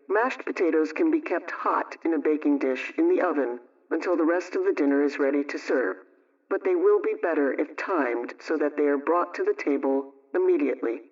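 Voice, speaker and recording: Man, radio presenter, scripted household narration, fairly high voice, muffled lo-fi recording. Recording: distant, dull lo-fi radio sound.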